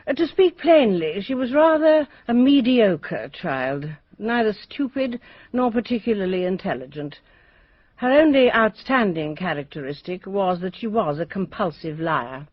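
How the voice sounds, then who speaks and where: Blunt headmistress, very expressive. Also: female voice, mid-range voice, unhurried, dry recording. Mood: brisk, dismissive. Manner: crisp, formal, blunt. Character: brisk, unsentimental, authoritative headmistress. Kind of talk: radio drama acting. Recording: dry, slightly dull radio audio.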